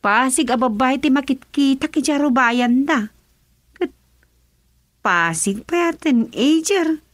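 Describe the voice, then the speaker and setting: A woman's voice: sits high, expressive, takes it slowly, no echo. An actress playing a skeptical village woman in a Filipino radio comedy drama, drawing out doubtful words with an Ilocano accent. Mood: curious.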